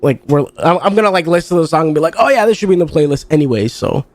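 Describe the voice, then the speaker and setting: A man's voice: a little high, animated, very fast, no room sound. A young man streaming a card game, chatting casually in a rambling way full of fillers, and stuffed up with congestion. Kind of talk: casual chat while playing a card game.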